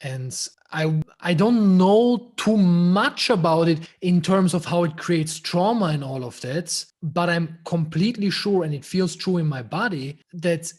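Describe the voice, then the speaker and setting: A man's voice: high-pitched, a little slow, hardly any pauses, a little mellow. A man from Austria, a guest men's coach, talking frankly and swearing in a casual podcast interview, often restarting his sentences.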